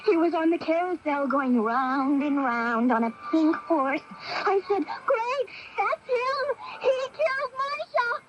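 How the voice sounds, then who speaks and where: A woman playing an excitable, melodramatic young mystery fan in a radio advertisement. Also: extremely high pitch, takes it slowly, full character voice. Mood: frightened, excited. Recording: dull, noisy radio broadcast.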